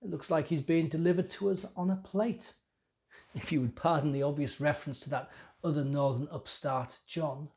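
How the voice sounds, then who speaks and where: A man's voice: fairly high pitch, steady tone, moderate pace, darkish tone, natural voice. A man playing a young temple priest, a self-important, scheming conspirator, confiding in a theatrical, musing monologue. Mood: smug, relieved. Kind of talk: dramatic monologue.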